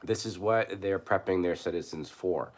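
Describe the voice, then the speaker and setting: A man hoarse from a cold, talking casually on his channel, halting and restarting with many fillers. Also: low-pitched. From New York. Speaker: prepper channel host. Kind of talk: casual live commentary.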